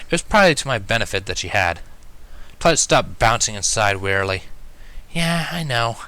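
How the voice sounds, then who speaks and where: A man's voice: fairly low pitch, expressive, brisk, bright, forceful tone. A man reading fan fiction aloud as first-person story narration.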